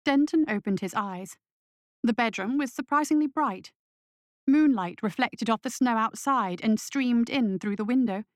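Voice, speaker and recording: A woman reading scripted horror fiction as story narrator in a measured, dramatic manner. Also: a little high, no echo, studio quality.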